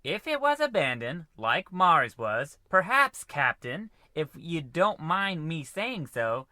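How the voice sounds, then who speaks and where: Man as spaceship science officer, very sharp bright voice, lively pitch, put-upon, fussy, radio comedy. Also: slow pace, dry recording, clean studio sound, exaggerated voice. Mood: hesitant protest. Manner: deferential but fussy and protesting. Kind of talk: scripted old-time radio comedy acting.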